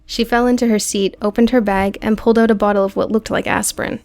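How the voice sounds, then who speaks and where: Creaky-voiced woman narrating fiction. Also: mid-range voice, steady tone, fast talker, a little mellow, dry recording. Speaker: audiobook narrator. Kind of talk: scripted dramatic narration of a prose story.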